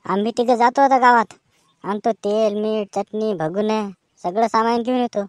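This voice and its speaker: Comic cartoon performer: woman, higher voice, rural Maharashtrian accent, colloquial banter, dry recording. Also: fairly even intonation, moderate pace, a little mellow, dry recording. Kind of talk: rustic comic cartoon dialogue.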